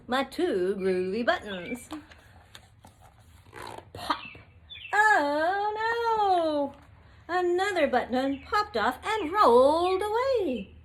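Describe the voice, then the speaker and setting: Lively high-voiced woman reading to children. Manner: expressive, drawn-out questions and exclamations. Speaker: teacher reading a picture book to young children. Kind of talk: animated children's read-aloud with singsong refrains. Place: outdoor covered patio. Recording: outdoor recording with faint background noise.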